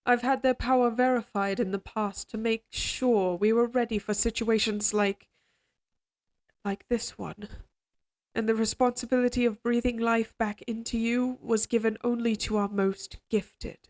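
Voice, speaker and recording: Woman acting a slow-speaking, regal evil queen. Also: fairly high pitch, fairly even intonation, darkish tone, natural voice. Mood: solemn, proud. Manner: formal, measured, courtly diction. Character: regal possessive evil queen, tender yet coldly controlling. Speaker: voice actor in audio roleplay. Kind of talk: slow dramatic audio roleplay monologue.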